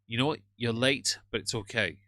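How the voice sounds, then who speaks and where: British streamer guy, snarky ranting, bright crisp voice, dry close sound. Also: mid-range voice, a little fast, dry recording. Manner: sarcastic, ranting, greets chat viewers. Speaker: livestream host reacting to another streamer. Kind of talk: casual live-stream commentary.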